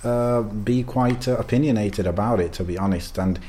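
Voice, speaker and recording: A man's voice: lowish voice, normal speed, warm tone. A man co-hosting a podcast, chatting loosely and giving strong opinions with plenty of fillers. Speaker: podcast co-host. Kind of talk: casual chat.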